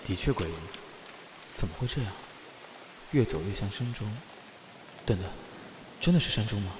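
A man's voice: on the low side, lively intonation, moderate pace, echoey room, long reverb tail, noisy background, close to an everyday voice. An actor playing a proud, prickly young male spirit in human form, grumbling and easily flustered, in a fantasy audio drama. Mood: wary, puzzled.

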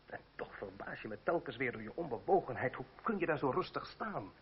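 A man's voice: medium pitch, big pitch swings, a little fast, slight background noise. An actor playing an earnest, anxious, principled young man in a period radio drama, pleading and reproachful. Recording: dull, lo-fi old radio play, crowd behind.

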